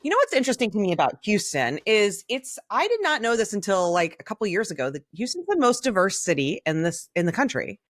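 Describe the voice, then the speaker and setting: A woman's voice: mid-range voice, very animated, fast, few pauses, no echo, clean studio sound. A woman co-hosting a podcast in casual, candid chat, opinionated and blunt with some mild swearing. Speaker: podcast co-host.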